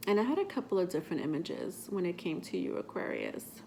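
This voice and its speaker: A woman's voice: on the low side, sing-song, brisk, gravelly, mellow, a little hiss or hum. A woman with a stuffy voice from a cold, hosting a tarot vlog in a rambling, chatty way full of fillers. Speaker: tarot reader and channel host. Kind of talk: casual vlog talk to camera.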